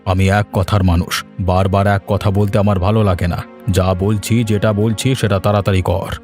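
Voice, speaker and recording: A man's voice: low-pitched, little pitch movement, normal speed, a little mellow, faint music behind, lightly acted. A man voicing a blunt, authoritative village headman in a cartoon. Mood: stern, scolding. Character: stern, bossy male village headman who loves food. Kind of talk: Bengali cartoon voice acting.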